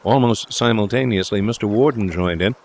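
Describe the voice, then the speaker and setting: Man, lower-pitched, scripted story narration, audiobook reader, faint noise in the recording. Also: a little fast, darkish tone.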